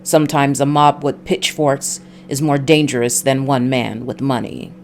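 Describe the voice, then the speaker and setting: A woman's voice: extremely low pitch, little pitch movement, normal speed, a little hiss or hum. A woman voicing a world-weary ex-cop private detective, narrating a noir visual novel in a dry, brooding way. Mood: cynical.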